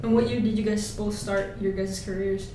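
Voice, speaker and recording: A woman's voice: mid-range voice, steady tone, normal speed, dark tone, far from the mic, slight background noise. A woman co-hosting a podcast, putting short questions to the guests and backing them with "mm-hmm". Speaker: podcast co-host. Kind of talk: casual podcast interview. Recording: echoey room, away from the mic.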